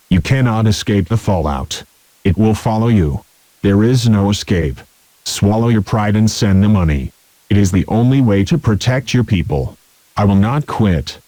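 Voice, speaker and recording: A man's voice: fairly low pitch, dark tone, no room sound. A man playing a menacing anonymous terrorist who hijacks an emergency broadcast, making taunting threats in short clipped statements. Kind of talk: scripted villain monologue in fictional emergency broadcast.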